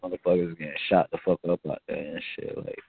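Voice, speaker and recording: A man from Miami telling a rambling, profane story in casual chat, with a halting manner. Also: deep voice, flat delivery, gravelly, husky, dry recording. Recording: phone-like band-limited audio.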